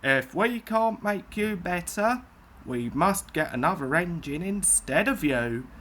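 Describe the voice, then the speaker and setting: Storybook railway controller, stern businessman, authoritative, slow delivery with lively pitch played by a woman. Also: exaggerated voice. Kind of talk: children's storybook reading.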